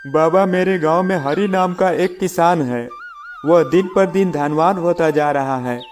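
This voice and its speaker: Man voicing a scheming, jealous cartoon farmer, higher-pitched. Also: unhurried, darkish tone, soft background music, lightly acted. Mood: complaining. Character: greedy, jealous male farmer, scheming and pleading. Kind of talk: acted children's moral story.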